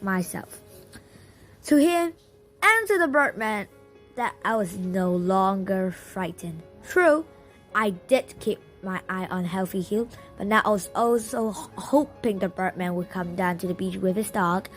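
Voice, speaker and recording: A woman's voice: medium pitch, expressive, a little slow, faint music behind. A woman reading a children's novel aloud as a first-person storyteller. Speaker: audiobook reader.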